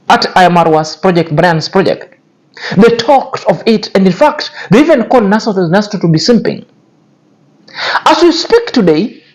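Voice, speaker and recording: A man hosting a commentary channel, talking in a cutting, repetitive, lecturing way. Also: very high-pitched. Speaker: YouTube commentary host. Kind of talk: scornful commentary on influencer drama.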